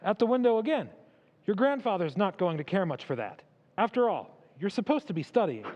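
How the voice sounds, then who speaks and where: A polite tutor played by a man in an old-time radio drama. The voice is high and very lilting, with proper, careful phrasing and a gently cautioning manner. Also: very animated, a little fast, muffled recording. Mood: chiding.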